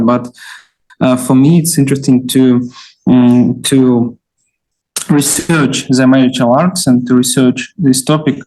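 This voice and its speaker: Russian-accented man, mid-pitched, hesitant self-introduction with many pauses. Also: creaky, a little mellow, rough recording. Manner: hesitant, searching for words. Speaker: clinical psychologist, lecture attendee.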